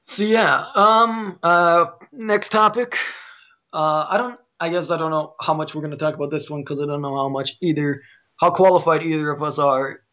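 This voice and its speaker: A man with a Midwestern American accent hosts a casual podcast chat. His voice sits a little higher than average. The recording is somewhat dull and lo-fi. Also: fairly even intonation, normal speed, pushed and bright. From Midwest United States. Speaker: podcast host.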